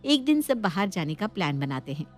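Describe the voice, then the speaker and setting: A woman's voice: fairly low pitch, sing-song, a little fast, darkish tone, faint music behind. A woman storyteller reading a scripted story narration.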